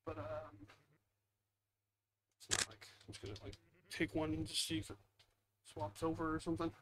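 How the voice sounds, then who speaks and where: A man with a slightly high voice hosts a gaming video in a casual, rambling way. He mutters self-deprecating asides as he plays. The home headset recording has some faint noise. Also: completely flat delivery, moderate pace. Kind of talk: casual gameplay commentary.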